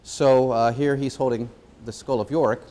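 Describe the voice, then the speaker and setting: A man giving an informal explanation as a puppeteer presenting a show, pausing and hesitating as he goes. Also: mid-range voice, fairly even intonation, mellow.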